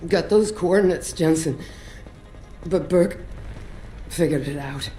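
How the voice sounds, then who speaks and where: A wounded game operative, tough and wry, talking slowly in a dark, soft tone. She sounds weak and in pain but determined, in an echoey space. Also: low-pitched. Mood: pained urgency. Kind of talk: video game dramatic acting. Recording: echoey space with faint music.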